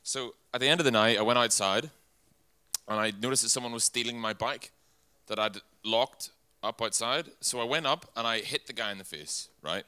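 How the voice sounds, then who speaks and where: A man's voice: mid-range voice, moderate pace, bright, forceful tone, smooth, no echo. A man with an Irish accent telling a blunt, matter-of-fact personal story. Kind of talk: personal anecdote.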